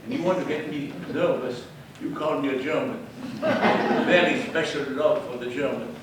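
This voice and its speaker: A man's voice: mid-range voice, moderate pace. An older man from Belgium, with a Dutch-French Belgian accent, telling his wartime eyewitness story to an audience with emphatic, wry humor. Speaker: eyewitness speaker. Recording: distant mic, echoey room, audible noise.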